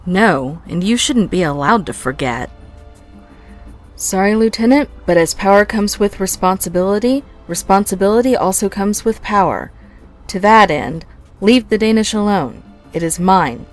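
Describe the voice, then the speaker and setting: A woman voicing a sardonic female starfighter pilot in a fan audio drama, teasing and deadpan. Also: medium pitch, moderate pace, soft background music, natural voice.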